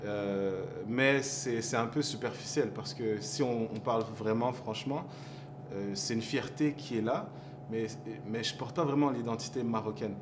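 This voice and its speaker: A man's voice: medium pitch, normal speed. Reflective man from Montreal, noisy café. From Montreal, Quebec. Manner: reflective, searching for words with many fillers. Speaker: hip-hop artist guest. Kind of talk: casual interview chat.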